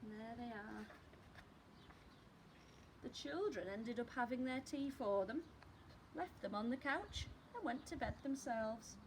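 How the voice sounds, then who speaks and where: A woman reading at storytime for young children, expressive and chatting directly to her listeners. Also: fairly high pitch, a little slow, pauses often, noisy background. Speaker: primary school storyteller.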